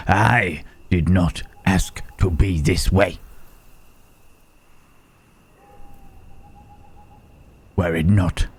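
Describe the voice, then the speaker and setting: A man playing a gentle, melancholy Frankenstein's monster in an intimate ASMR roleplay, speaking haltingly and formally in a husky, breathy rasp. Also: sits low, heavily acted. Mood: wounded, tender. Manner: slow, halting, archaic formal phrasing with long pauses.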